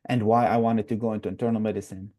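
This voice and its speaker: A man's voice: on the low side, flat delivery, fast, mellow. A young man from Iraq, a new resident doctor, answers interview questions earnestly, with frequent fillers. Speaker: guest, newly matched resident.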